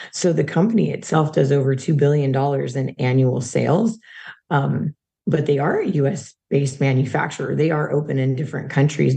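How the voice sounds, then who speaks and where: A hoarse woman in her thirties or forties, a guest chatting in a rambling way about her work in sales. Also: extremely low pitch, mellow. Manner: chatty, rambling, many restarts. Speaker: guest, supplement company sales rep. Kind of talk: casual interview chat.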